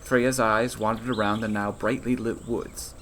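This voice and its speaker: A man's voice: on the low side, little pitch movement, measured pace, a bit bright, a little hiss or hum. A man reading his own fantasy novel aloud as scripted audiobook narration.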